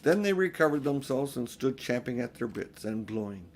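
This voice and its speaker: An older man reads a children's storybook aloud. He has a mid-pitched voice and narrates as an audiobook reader would. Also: sing-song, normal speed, a little hiss or hum.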